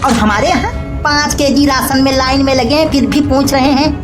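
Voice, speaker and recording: A man's voice: very high-pitched, moderate pace, music in the background. A man voicing an opinionated, exasperated male friend in a comedy cartoon, grumbling and ranting in earnest. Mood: earnest, indignant. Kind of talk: Hindi comedy cartoon dialogue.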